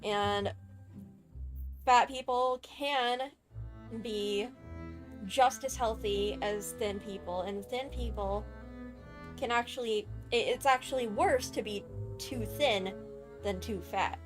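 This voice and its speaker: A woman giving casual let's-play commentary, informal, halting and opinionated. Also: fairly high pitch, over music. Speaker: game streamer.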